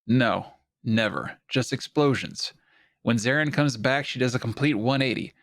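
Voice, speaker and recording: A man's voice: medium pitch, unhurried, a bit bright, dry recording, studio quality. A man playing an earnest, troubled doctor in a science fiction audio drama, speaking with urgent, pleading insistence. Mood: troubled, insistent.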